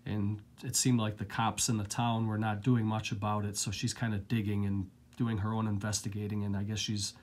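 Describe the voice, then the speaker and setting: A man with a lingering cold, casually chatting through a relaxed, rambling unboxing of his new discs, recorded indoors at home. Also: lowish voice. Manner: relaxed, rambling, filler-heavy. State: getting over a cold, coughing. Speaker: collector vlogger.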